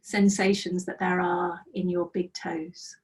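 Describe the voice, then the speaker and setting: A middle-aged woman leading a guided mindfulness practice over a video call, speaking slowly and soothingly with gentle pauses, her voice slightly croaky. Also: fairly low pitch, level tone, far from the mic. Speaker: mindfulness trainer. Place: small office with bookshelves, indoors. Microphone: laptop webcam on a video call.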